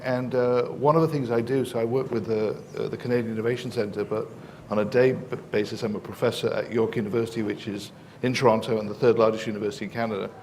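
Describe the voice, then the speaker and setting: A man's voice: lowish voice, darkish tone, slight background noise. A man with an English accent, speaking as a professor on a conference panel, explaining at length with many fillers and false starts.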